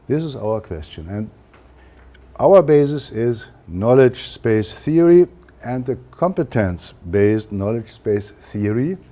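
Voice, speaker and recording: German-accented male lecturer, mellow and low. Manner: careful, halting delivery with frequent uh fillers. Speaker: university lecturer. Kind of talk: academic lecture.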